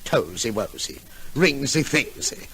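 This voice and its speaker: A man's voice: mid-range voice, wildly swooping pitch, measured pace, creaky, full character voice. An Australian actor playing an exasperated, sardonic father in an old-time radio comedy, blustery and mock-polite. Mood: irritated. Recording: noisy old radio recording.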